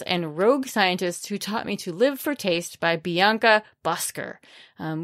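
A woman's voice: medium pitch, fairly bright tone, no room sound, clean studio sound. A woman hosting a podcast, talking casually and chattily about books with playful, wry humor. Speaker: podcast host. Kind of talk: casual, chatty solo book talk.

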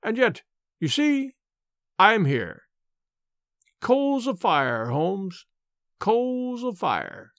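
A man's voice: high voice, big pitch swings, very slow, long pauses, fairly bright tone, no room sound, clean studio sound, pitched above the performer's own voice, full character voice. A man voicing a smug, cruel villain who taunts and sneers in a dramatized audiobook reading. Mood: gloating. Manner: taunting, insistent, mock-concerned.

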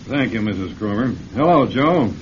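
A man's voice: medium pitch, expressive, moderate pace, slight background noise, close to an everyday voice. An actor playing a polite, persuasive businessman with a shady offer, courteous and a little hesitant, in an old-time radio drama. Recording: muffled old radio recording.

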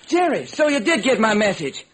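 An actor playing a baffled, folksy police lieutenant in an old-time radio detective drama, talking in earnest slang. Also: male voice, high-pitched, big pitch swings, moderate pace, fairly bright tone. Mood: urgent, puzzled. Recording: noisy vintage radio recording.

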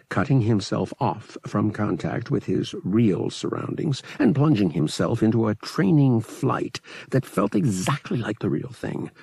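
Gravelly, husky male audiobook narrator. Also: fairly low pitch, darkish tone, no echo. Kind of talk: scripted dramatic narration of science fiction.

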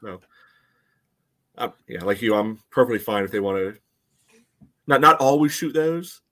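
A man co-hosting a sports podcast, explaining plays in a conversational, measured way. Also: lowish voice, lively intonation, pushed and bright. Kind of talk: casual soccer analysis.

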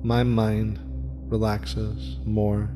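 A man leading a guided meditation in a soft, soothing voice with a slow, repetitive cadence. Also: on the low side, completely flat delivery. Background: faint music with gong.

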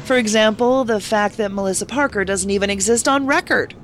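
An actress playing a sarcastic federal investigator in a science fiction audio drama, with a brisk, clipped, sardonic manner. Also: female voice, medium pitch, soft background music, lightly acted. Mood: skeptical. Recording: clear vehicle noise behind voice.

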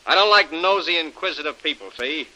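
Sharp-voiced ruthless radio serial villain. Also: male voice, a little high, expressive, fairly quick, rough recording. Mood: cold, sarcastic. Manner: hard-boiled, sarcastic, blunt slangy talk. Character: ruthless, suspicious male villain, cold and menacing. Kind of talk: 1930s radio serial acting. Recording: vintage 1930s radio recording.

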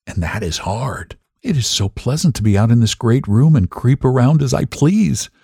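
A man's voice: mid-range voice, lively intonation, moderate pace, gravelly, mellow, husky, no echo, studio quality, voice pushed up. A man reading dramatically as an unhinged, secretive, defiant woman narrating a gothic story. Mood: giddy, defiant. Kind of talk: dramatic first-person audiobook reading.

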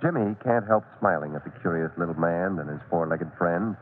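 A man's voice: sits low, fairly quick. A man narrating an old radio serial as its announcer, reading scripted copy. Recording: tinny, noisy vintage radio recording.

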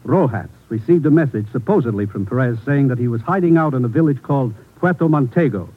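A grave American commissioner acted by a man from an old radio thriller. His voice is husky and mid-pitched, his manner brisk and official. The sound is muffled like a vintage broadcast. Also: expressive, lightly acted. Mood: grave, urgent. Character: grave, authoritative male government commissioner briefing agents. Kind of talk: 1950s radio drama acting.

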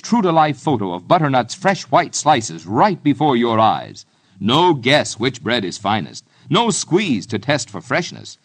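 Old timey radio commercial guy, very lively pitch, emphatic sales read. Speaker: radio advertisement announcer. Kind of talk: 1940s-50s scripted radio advertising.

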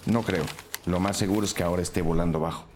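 A man's voice: low voice, fairly even intonation, quick pace, noisy background, natural voice. A man playing an assured police detective briefing his colleagues in a scripted audio drama. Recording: music bed behind the voice.

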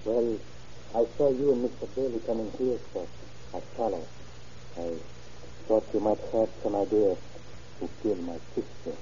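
A man's voice: fairly low pitch, moderate pace, long pauses, dark tone, natural voice. A man playing a subdued, grieving brother in an old-time radio drama. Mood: subdued grief. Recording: muffled old radio recording with hiss.

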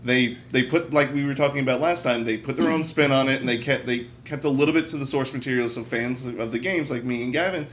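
A man's voice: medium pitch, quick pace, hardly any pauses, fairly bright tone, slight background noise. A man chatting casually as a podcast co-host, giving blunt, jokey, profane opinions in an emphatic way.

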